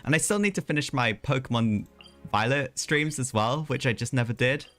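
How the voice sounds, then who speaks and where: A man's voice: medium pitch, normal speed, a bit bright, no echo, soft background music. A man live streaming a video game, chatting casually in a rambling way with hesitant asides.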